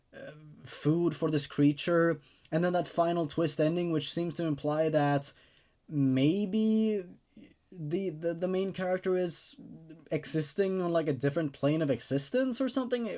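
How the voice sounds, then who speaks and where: A man's voice: on the high side, a little mellow. A man hosting horror story readings, speaking in a dramatic, emphatic way as he narrates and gives his take. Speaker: host narrating and reviewing horror stories. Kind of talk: dramatic horror narration and casual enthusiastic review.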